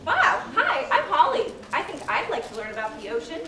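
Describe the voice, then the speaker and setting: A woman's voice: sits high, wildly swooping pitch, a little fast, bright, forceful tone, full character voice. A young woman playing an eager, curious girl puppet full of questions in a live children's show. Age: young adult. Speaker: puppeteer in a student educational show. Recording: echoey hall, distant mic, crowd noise.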